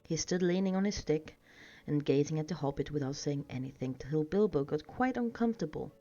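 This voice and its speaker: A woman's voice: deep voice, expressive, brisk, warm tone. A woman reading a storybook aloud as scripted narration. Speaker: audiobook reader. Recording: dull sound with music behind.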